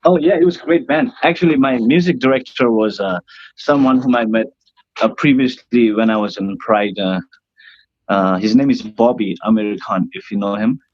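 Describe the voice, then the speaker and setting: A man's voice: medium pitch, normal speed, darkish tone, no echo, highs cut off. A young man from northern India whose first language is Nepali, a cruise ship band singer talking hesitantly with many fillers in a casual interview, his voice tired and worn.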